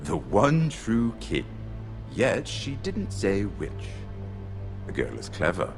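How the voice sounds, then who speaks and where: A man's voice: fairly low pitch, huge pitch swings, slow, vocal fry, over music, full character voice. An actor playing a shrewd, worldly nobleman in a game drama, droll and knowing, with a drawling delivery. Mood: wry, pointed.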